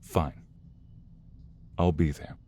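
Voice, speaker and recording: A man playing a protective, defiant mobster devoted to his lover, acted with earnest emotion and dramatic pauses in an audio roleplay. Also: dark tone, a little hiss or hum. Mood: angry defiance. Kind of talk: audio roleplay romance acting.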